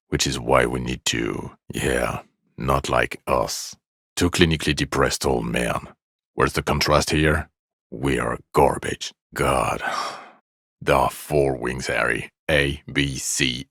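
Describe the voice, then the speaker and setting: An actor playing a jaded, sardonic middle-aged police detective in a video game, blunt and weary, with a gravelly voice. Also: male voice, very deep voice, a bit bright, no room sound, studio quality, heavily acted. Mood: bitter, weary.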